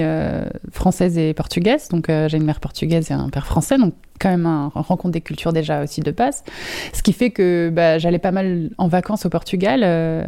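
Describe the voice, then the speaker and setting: A woman's voice: on the low side, warm tone. A young woman from Alsace recalling her life casually as an interview guest, hesitantly searching for words. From Alsace, France. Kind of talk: casual personal recollection.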